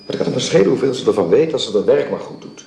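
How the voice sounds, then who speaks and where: A man's voice: medium pitch, lively intonation, racing, gravelly, warm tone. An actor playing a courteous middle-aged father and employer in a Dutch radio drama, speaking formally, hesitantly and persuasively. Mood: defensive. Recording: roomy, hissy old radio recording.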